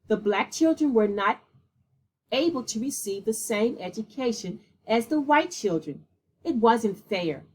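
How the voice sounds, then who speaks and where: A woman with a slightly husky voice reading a children's picture book aloud in a measured, deliberate way. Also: fairly high pitch, a little mellow, rough recording. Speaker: read-aloud storyteller.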